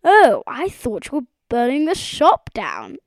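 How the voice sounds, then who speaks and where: Lady doing a short fat show-off frog guy for a kids story, pompous, high voice, takes his time. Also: huge pitch swings, a little slow, dry recording, clean studio sound, exaggerated voice. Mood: cheeky. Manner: pompous, boastful. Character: short squat boastful male frog, pompous and excitable. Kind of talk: children's storybook character voice.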